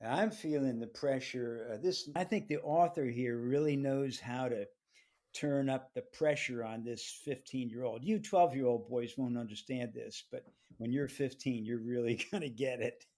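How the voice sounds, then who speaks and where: A man co-hosting a read-along, talking casually and reflectively, often pausing mid-phrase. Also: mid-range voice, a little mellow. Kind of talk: casual discussion with personal reflection.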